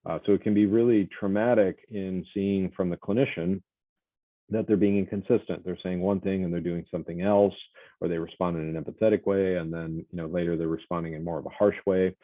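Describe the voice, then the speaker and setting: A man lecturing to a college class in a loud, projecting voice, talking conversationally with many fillers and self-corrections. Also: low voice, fairly even intonation, a little fast, no echo. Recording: dry, phone-like lo-fi audio.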